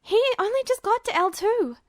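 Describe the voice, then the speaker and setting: A feisty young girl, acted by a woman narrator, very high and shrill. She is anxious, loud and sharp-tempered, a fan fiction audiobook character in a very dry recording. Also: lively intonation, moderate pace, no room sound. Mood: anxious, annoyed. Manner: shrill, exasperated outbursts.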